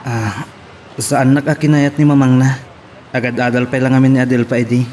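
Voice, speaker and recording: A man's voice: medium pitch, flat delivery, a little fast, a little mellow, close to an everyday voice. A man playing a timid, evasive employee who sounds baffled and hesitant in an Ilocano audio drama, with an Ilocano accent. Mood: hesitant, uneasy. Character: flustered, evasive, timid male employee under pressure. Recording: clear background hiss and noise.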